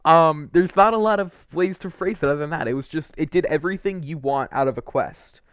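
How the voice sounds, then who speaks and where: A man's voice: a little high, fairly quick, smooth, no echo. A young adult man giving casual, opinionated video game commentary in an informal, slangy, rambling way.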